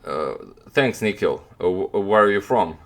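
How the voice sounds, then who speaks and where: Young Croatian man, deep voice, halting casual streamer chat. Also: lively intonation, fairly bright tone. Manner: hesitant, many fillers and restarts. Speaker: chess streamer answering chat.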